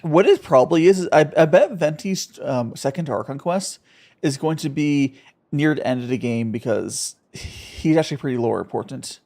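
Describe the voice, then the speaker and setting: A man's voice: on the high side, normal speed, darkish tone. A man streaming a game, rambling and joking casually. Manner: rambling, joking, laughs often. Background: game music.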